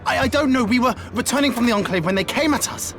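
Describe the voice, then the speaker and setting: A woman's voice: fairly high pitch, quick pace, lightly acted. A woman playing a plucky young villager in a video game cutscene. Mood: startled, breathless. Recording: music behind the voice.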